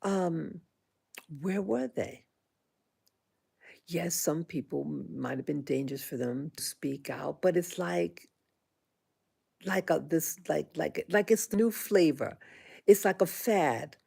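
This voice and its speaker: Woman in her sixties, low gravelly voice, slow hesitant delivery, aggrieved personal vlog monologue. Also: darkish tone. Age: 60s. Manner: halting, many restarts and fillers; aggrieved, indignant. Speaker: vlogger.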